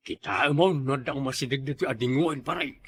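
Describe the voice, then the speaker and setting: A man's voice: medium pitch, fairly quick, a bit bright, breathy edge. A man with an Ilocano accent playing a mischievous, eager village sidekick in an amateur comedy drama. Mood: urging, sneaky.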